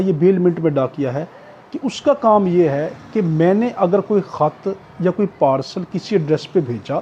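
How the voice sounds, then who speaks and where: Male lecturer, Pakistani accent, high mellow voice, explanatory and repetitive, recorded on dull lo-fi audio. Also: slight background noise. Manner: explanatory, repetitive, often checking with "okay". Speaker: law lecturer. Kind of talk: exam-prep law lecture with worked examples.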